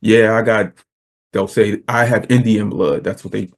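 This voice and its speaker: A middle-aged man hosting a podcast, speaking in a measured, unhurried way. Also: on the low side, steady tone. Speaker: podcast host. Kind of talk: casual conversation.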